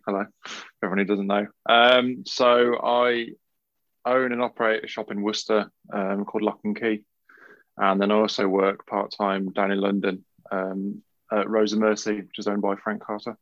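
A man guesting on a podcast as a tattoo artist, answering in relaxed, rambling sentences. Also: on the low side, normal speed, dry recording, lo-fi sound. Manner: relaxed, rambling, filler-heavy. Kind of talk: interview answers.

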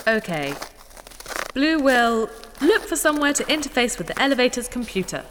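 Lady doing a eager naive robot girl, high voice swooping everywhere, big echoey reverb, sci-fi radio play. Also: sing-song, moderate pace, a bit bright, a little hiss or hum. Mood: innocent, earnest. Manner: speaks of herself in third person, singsong pleading. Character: childlike, eager, naive female robot, morbidly curious. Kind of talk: sci-fi audio drama acting. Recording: long hall-like reverb.

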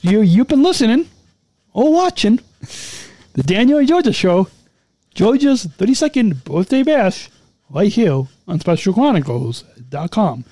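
A man's voice: high-pitched, very animated, unhurried, mellow, no echo. A man co-hosting a casual podcast, signing off with repeated, stumbling, upbeat plugs. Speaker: podcast co-host.

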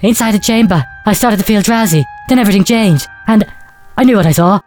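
A man voicing a calm, dreamy young woman who softly recalls a strange room, his voice raised well above his own. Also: sits high, a little fast, soft background music, pitched above the performer's own voice, close to an everyday voice.

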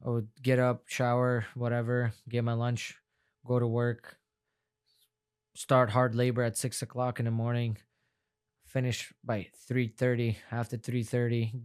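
A man's voice: mid-range voice, completely flat delivery, a little slow, a little mellow, clean tone. A young man from Afghanistan, a non-native English speaker whose first language is Farsi, talking casually as an interview guest, rambling and repeating words in a heartfelt way. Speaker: guest fighter.

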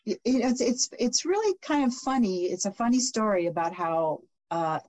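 A woman's voice: a little high, expressive, normal speed, a little mellow. A woman guest on a podcast, talking in a friendly, easygoing way. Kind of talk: casual interview chat.

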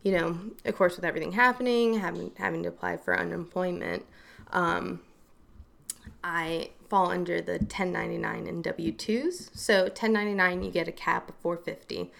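A woman with a fairly low voice and a gravelly, fry-tinged edge talks in a relaxed group conversation. She tells her story in an animated way, full of fillers and quick asides. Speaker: hairstylist and makeup artist guest. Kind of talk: casual podcast chat.

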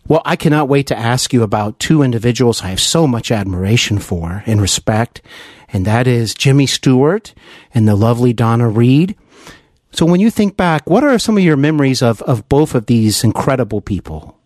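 A man's voice: medium pitch, animated, normal speed, darkish tone, no echo, studio quality. A man hosting an interview in an enthusiastic, chatty, admiring way. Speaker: interview host.

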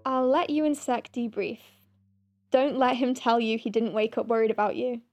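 A woman's voice: on the high side, steady tone, moderate pace, darkish tone, highs cut off, soft background music, close to an everyday voice. A woman playing a proud senior space-clinic doctor in an audio drama, formal, clipped and literal-minded, stiff but kind. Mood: tentative, softening.

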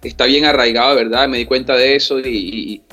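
A man's voice: medium pitch, steady tone, brisk, sharp tone, faint music behind. A man from Venezuela, a reggae artist, answering interview questions in an earnest way, often repeating words and checking that he is understood.

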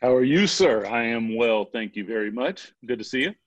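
A man's voice: mid-range voice, expressive, normal speed, dry recording. A man speaking as a regular analyst on a sports podcast, thinking aloud with hedges and repeated words. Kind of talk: casual sports analysis talk.

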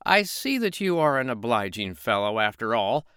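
A man doing a shrill, tiny old bearded fairy. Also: big pitch swings, normal speed, clean tone, no room sound, studio quality. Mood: grandly generous. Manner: shrill, sharp-tongued.

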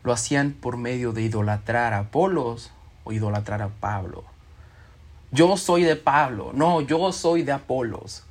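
A man preaching a sermon, reading scripture and acting out quoted voices with expression. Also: medium pitch.

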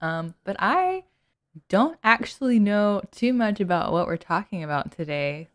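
A woman's voice: medium pitch, sing-song, unhurried, a bit bright. A young woman co-hosting a casual podcast, teasing her co-host and laughing often. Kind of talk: casual chat.

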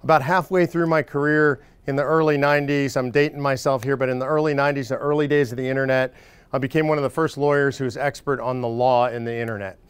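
A man in his forties giving a loud, casual, joking talk to a live audience of supporters. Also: mid-range voice, fairly even intonation, fast, smooth, no echo. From Northern California. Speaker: speaker addressing supporters. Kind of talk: casual stump speech.

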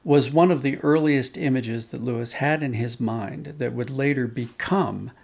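A man's voice: medium pitch, moderate pace, creaky, darkish tone. A man speaking as an interview guest, explaining things thoughtfully and building his points step by step. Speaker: podcast guest, audio drama writer and director.

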